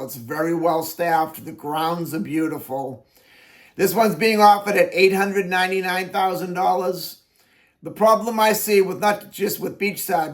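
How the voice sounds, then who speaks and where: A man working as a real estate agent, talking through his daily listing video in a plain, conversational way, his voice raspy from allergies. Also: on the high side. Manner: plain-spoken, conversational, explains at length. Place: Florida Keys.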